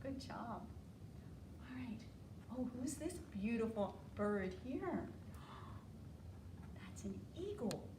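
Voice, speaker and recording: An American woman leading an interactive storytime for preschoolers, asking simple questions and drawing out key words in an animated way. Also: fairly high pitch, extremely slow, long pauses. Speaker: children's storytime librarian. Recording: roomy, distant mic, faint room noise.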